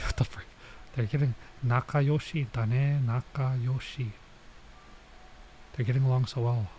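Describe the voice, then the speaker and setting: A male game streamer reads a visual novel aloud at a middling pitch. He slips between narration and offhand comments, with clear noise behind his voice. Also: fairly even intonation, measured pace, warm tone, low-quality recording. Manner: stops to comment and translate Japanese phrases. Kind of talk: let's play read-aloud with casual asides.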